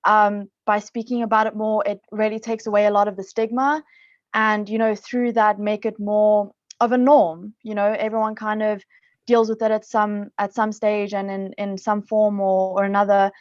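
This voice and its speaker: A woman's voice: mid-range voice, flat delivery, moderate pace, no room sound. Chatty south african woman on a podcast, lots of um and you know, kinda dull lo-fi mic. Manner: chatty, upbeat, many fillers and restarts. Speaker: podcast guest, psychologist. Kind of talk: casual interview talk. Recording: dull lo-fi dry sound.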